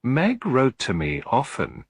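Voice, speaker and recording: A man's voice: mid-range voice, wildly swooping pitch, slow, slightly rough, no room sound, pitched above the performer's own voice, full character voice. A man voicing an anxious, tearful widow with a hesitant, pleading manner in a storybook reading. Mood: worried. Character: anxious, tearful working-class widow, thin and worried.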